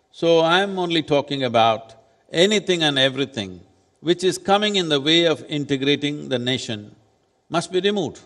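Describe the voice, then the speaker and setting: Measured man on a reverberant hall stage. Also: on the high side, fairly bright tone. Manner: measured, deliberate, firm. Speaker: spiritual leader speaking as summit panelist. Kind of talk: onstage panel answer. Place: large conference hall stage.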